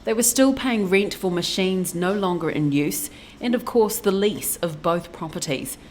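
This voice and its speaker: A woman's voice: mid-range voice, unhurried, a little mellow, a little hiss or hum. A woman with a New Zealand accent narrating a scripted news feature as a reporter.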